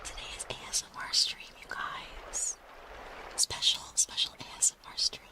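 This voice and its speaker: A woman hosting an ASMR livestream, whispering breathily and close to the mic in a playful, rambling, apologetic way. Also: normal speed. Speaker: livestream host.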